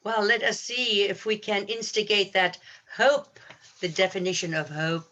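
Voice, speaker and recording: A woman co-hosting a podcast, giving a reflective spiritual talk in an earnest, measured, philosophizing manner. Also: mid-range voice, pushed and bright, highs cut off. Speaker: podcast co-host.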